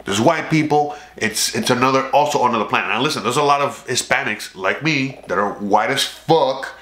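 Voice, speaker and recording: A Cuban American man from South Florida delivers a swearing, meandering monologue to his viewers, checking in with frequent "okay?" asides. His voice is bright and sharp, at a middle pitch for a man. The room around him is echoey. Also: moderate pace. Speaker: YouTube channel host. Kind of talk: casual profane monologue to camera.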